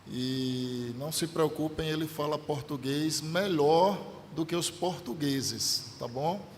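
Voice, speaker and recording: A man leading the church service introduces a guest preacher in a relaxed, conversational way. His voice sits a little high. A long church reverb and faint background noise surround his speech. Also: takes it slowly. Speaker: local pastor introducing the guest. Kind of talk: church announcement. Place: church hall.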